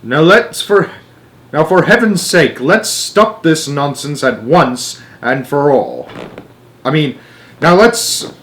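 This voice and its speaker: A man's voice: a little high, very animated, slow, fairly bright tone, pitched above the performer's own voice, full character voice. A man voicing an eccentric, self-assured male inventor in a storybook, with an emphatic manner. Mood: stern.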